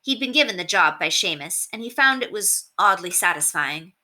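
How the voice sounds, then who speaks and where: A woman narrating a novel aloud in an expressive storybook reading style. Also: mid-range voice, normal speed.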